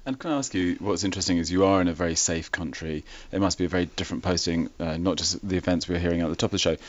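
A man's voice: sits low, very fast. A man interviewing a guest, asking long, carefully framed questions. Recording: dry, dull lo-fi sound.

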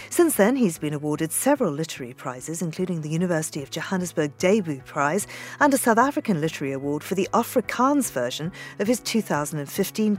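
A woman from Zimbabwe hosts the interview in a slightly low voice, introducing her guest and putting direct questions to him calmly. A faint bed of music sits under her words. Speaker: interviewer host. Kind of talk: radio interview.

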